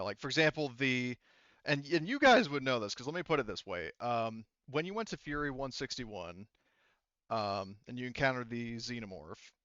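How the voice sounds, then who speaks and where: A man running a tabletop roleplaying game, explaining the story in a halting, conversational way. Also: mid-range voice, fairly bright tone, dry recording, dull audio. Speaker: game master.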